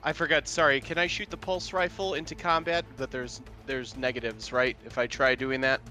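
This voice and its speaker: A man's voice: medium pitch, level tone, moderate pace, pushed and bright, faint music behind. A man chatting casually as a player in a tabletop role-playing game.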